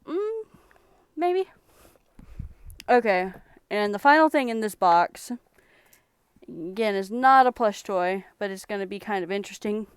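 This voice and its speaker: A young woman from Texas talks in a relaxed, rambling way while showing off her thrift finds. Her voice is strikingly clear and smooth. It is recorded close up, with a dry sound. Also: mid-range voice, unhurried, long pauses, no room sound. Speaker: streamer reselling thrift finds. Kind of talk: casual live-stream chat.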